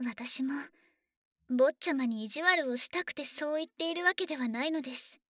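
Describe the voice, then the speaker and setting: Soft-spoken dragon maid. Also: female voice, high-pitched, moderate pace, no room sound, clean studio sound. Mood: gently firm. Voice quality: soft, often whispered. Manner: formal, doting and gently teasing. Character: devoted polite female dragon maid to a young master. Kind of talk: ASMR sleep roleplay.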